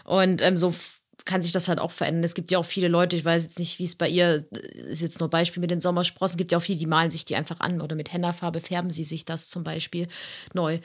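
A woman co-hosting a podcast, talking in a chatty, lively way with fillers. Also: fairly low pitch, fast. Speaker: podcast co-host. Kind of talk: casual podcast chat.

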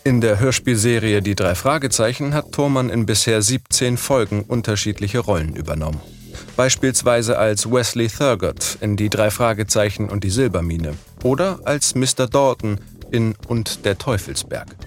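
Tieferer männlicher Sprecher mit Musik dahinter. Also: male voice, lowish voice, moderate pace, faint music behind. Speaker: podcast narrator introducing the guest. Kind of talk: scripted narration.

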